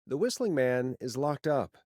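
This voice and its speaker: An actor playing a wry, quick-witted late-night radio host in a video game, with sarcastic, hesitant asides. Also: male voice, mid-range voice, very animated, a little mellow, no room sound, clean studio sound. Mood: announcing, relieved.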